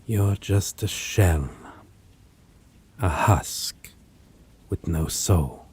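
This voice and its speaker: A man playing a possessive, menacing vampire in a dramatic audio roleplay, speaking in a theatrical, condescending manner with a husky, breathy voice. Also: low-pitched, darkish tone, heavily acted. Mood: cold, solemn. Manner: slow, theatrical, archaic wording, condescending endearments. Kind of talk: dramatic audio roleplay acting addressed to listener.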